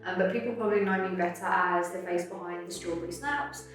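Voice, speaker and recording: A woman's voice: on the low side, steady tone, fast, faint music behind. A woman in her late twenties from England with an English accent, chatting casually in an interview. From Cambridge, England. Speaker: interviewee, travel content creator. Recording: very echoey room, distant microphone.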